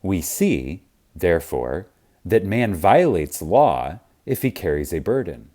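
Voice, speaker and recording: A man with a low, deep voice narrates a spiritual teaching audiobook. He reads very slowly and reverently, with deliberate pauses. His pitch moves widely as he stresses key words for emphasis. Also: sing-song, dark tone.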